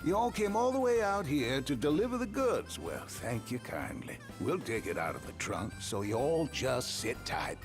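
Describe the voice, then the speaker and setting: Folksy rural man, lively pitch swings, courteous, hospitable, music behind, video game cutscene. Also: normal speed, hardly any pauses. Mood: friendly.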